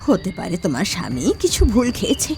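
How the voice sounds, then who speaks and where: Village mother: indignant, protective, expressive pitch, cartoon dialogue with background music. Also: fast talker, gravelly. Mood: defensive. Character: defensive village mother protecting her son.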